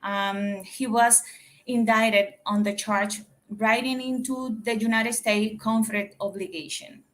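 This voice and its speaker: Dominican lady calling in, higher voice, reading her english slow and unsure. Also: fairly even intonation, a bit bright, slight background noise. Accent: Dominican Spanish. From Dominican Republic. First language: Spanish. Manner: hesitant, reads haltingly in English. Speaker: caller.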